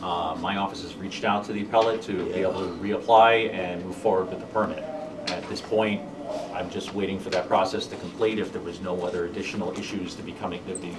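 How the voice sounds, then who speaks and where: A deep-voiced man speaks as police chief before an appeals board. He talks haltingly, full of "uh"s and restarts. He sits back from the microphone in a noisy hearing room. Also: fairly even intonation. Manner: halting, with many fillers and restarts. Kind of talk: testifying at a public board hearing. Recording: distant room mic with background noise.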